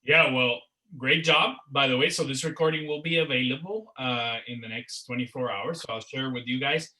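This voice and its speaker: A man with a very bright, sharp voice gives closing thanks on an online panel. He rambles, repeating words and leaning on "uh" and "you know." He sounds like he is on a video call. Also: mid-range voice, moderate pace, poor audio. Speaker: organizer of a career network panel. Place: Miami.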